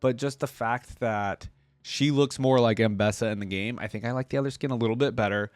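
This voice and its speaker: Male online reaction host, medium pitch, informal running commentary that thinks aloud, very little room sound. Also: a little fast, dry recording.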